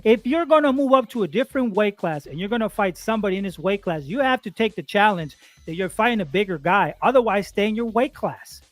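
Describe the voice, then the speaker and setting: A man's voice: high voice, animated, fast talker, hardly any pauses, dry recording, faint music behind. A man in his forties arguing boxing, insistent, repeating his points and stumbling over words. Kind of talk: heated boxing argument.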